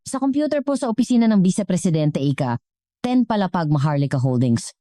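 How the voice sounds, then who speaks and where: Audio story role: businesslike woman assistant, done by the female narrator, formal delivery, soft tone, dry sound. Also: fairly low pitch, sing-song, fairly quick, dry recording, voice pushed up. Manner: formal, reporting. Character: efficient, formal female corporate aide.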